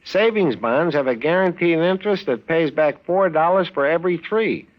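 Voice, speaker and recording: An actor playing a cheerful, hapless American husband in a radio comedy sketch, with a quippy, placating manner. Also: male voice, on the high side, lively intonation, normal speed, lightly acted. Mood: placating, earnest. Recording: muffled vintage radio recording.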